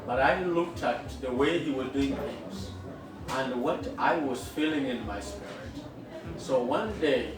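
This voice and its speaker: A middle-aged man with a Kenyan accent, a school founder, telling his story in an interview in an earnest, unhurried way with frequent fillers. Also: mid-range voice, crowd noise. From western Kenya. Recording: echoey room, far from the mic, with noticeable background noise.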